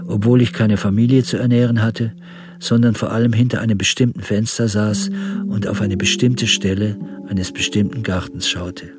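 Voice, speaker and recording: An actor as a pedantic elderly amateur historian, music behind. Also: male voice, medium pitch, close to an everyday voice. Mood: earnest, meticulous. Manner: formal, meticulous, circumstantial. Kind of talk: radio drama monologue, reading out a letter.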